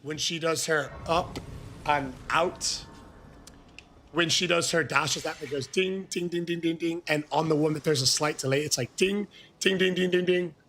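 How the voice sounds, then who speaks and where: Hoarse, gravelly Irish streamer. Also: male voice, fairly high pitch, normal speed. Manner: excitable, bursts into shouting while thanking viewers. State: hoarse, losing his voice. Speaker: gaming livestreamer. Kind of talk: casual live-stream chat.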